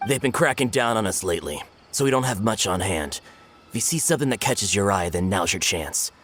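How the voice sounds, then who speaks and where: Skittish dealer, stammering, hesitant, lively pitch, game character. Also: male voice, a little fast, soft background music. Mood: matter-of-fact. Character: skittish male underling dealer, quick to give up.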